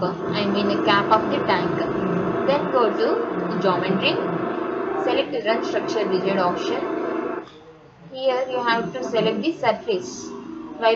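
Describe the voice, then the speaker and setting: A woman with an Indian accent narrating a step-by-step software tutorial in a halting, instructional manner. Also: mid-range voice. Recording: muffled, very noisy, vehicle sounds behind.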